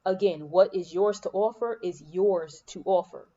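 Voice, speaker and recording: A woman hosting a podcast of spiritual encouragement in a soothing, calming voice. She talks in an unhurried, reflective way and repeats key lines for emphasis. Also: on the low side, lo-fi sound. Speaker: podcast host and spiritual coach. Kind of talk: spiritual motivational monologue. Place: room at home with air conditioning on. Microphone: laptop microphone.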